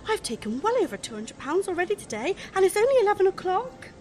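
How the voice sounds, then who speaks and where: A woman's voice: very high-pitched, sing-song, fast. An actress playing an eager, chatty young female shop assistant in an amateur radio comedy. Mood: chirpy, busy. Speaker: actor in amateur radio play.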